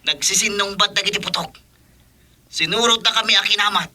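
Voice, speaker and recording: A man's voice: high voice, a little fast, sharp tone. A man with an Ilocano accent playing a combative, urgent gang gunman in a radio drama. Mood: reporting anxiously. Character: tense male gunman leading an armed gang. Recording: noisy, sirens and vehicle effects behind. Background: sirens and vehicle sound effects.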